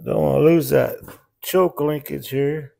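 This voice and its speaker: Plainspoken middle-aged mechanic, fairly high voice. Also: male voice, steady tone, unhurried, creaky, a little mellow. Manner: plainspoken, folksy shop talk. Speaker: small engine repair mechanic. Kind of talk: casual hands-on repair walkthrough. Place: indoor repair shop.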